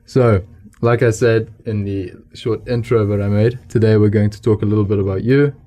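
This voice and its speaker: A young man hosting a radio interview, polite and measured, with frequent affirming murmurs. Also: on the low side, steady tone, mellow.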